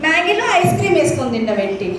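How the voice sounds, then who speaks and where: A woman's voice: sits high, big pitch swings, very fast. A woman hosting a children's puppet show, questioning and exclaiming playfully and repeating phrases for the children. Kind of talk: playful interactive storytelling for children. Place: large hall with audience of children. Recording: very echoey noisy hall, distant, crowd.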